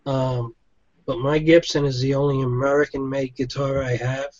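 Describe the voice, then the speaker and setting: A man in casual chat about guitars, speaking in a measured, agreeable way. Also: mid-range voice, level tone, a little mellow, no echo, dull audio.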